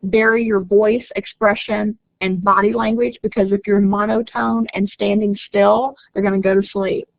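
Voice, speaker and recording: A woman's voice: mid-range voice, level tone, normal speed, creaky, few pauses, no room sound, narrow, tinny audio. A woman with an Oklahoma accent giving a chatty live online lecture as a college instructor, reading out and answering student comments. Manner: chatty, reads out student comments, laughs easily.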